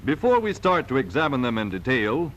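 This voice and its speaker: A man's voice: medium pitch, sing-song, fairly quick, a bit bright, dull audio. A man reading scripted narration for a 1940s sales film in an emphatic, polished salesman's delivery, on a vintage film soundtrack.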